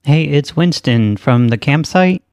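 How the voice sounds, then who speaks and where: A man hosting a prank call show in a casual, deadpan and insistent way. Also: a little high, unhurried, no echo, clean studio sound. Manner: casual, insistent, straight-faced.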